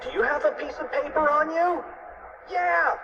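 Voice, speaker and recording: A man voicing an excitable tiny schoolboy from a storybook, with a shrill, exclamatory delivery. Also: fairly quick, distant mic. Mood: urgent. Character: excitable, panicky little schoolboy, an inch tall. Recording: noisy, muffled lo-fi audio.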